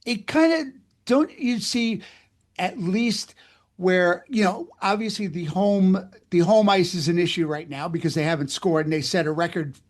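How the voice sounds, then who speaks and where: A man's voice: sits high, moderate pace. A man interviewing a guest about sports, conversational and wordy, circling back on his phrases as he builds a question.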